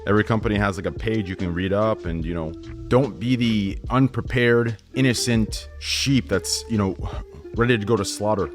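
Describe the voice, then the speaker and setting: A man's voice: on the low side, moderate pace, faint music behind. A man chatting casually on a livestream as a streamer, blunt, profane and rambling. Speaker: Twitch streamer.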